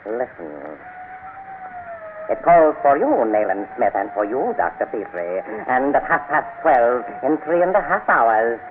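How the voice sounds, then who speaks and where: An actor playing a gloating, cultured criminal mastermind with slow, mock-courteous menace in an old radio serial. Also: male voice, mid-range voice, very animated. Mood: ominous threat. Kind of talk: 1930s-40s radio serial acting. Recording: tinny, noisy old radio broadcast.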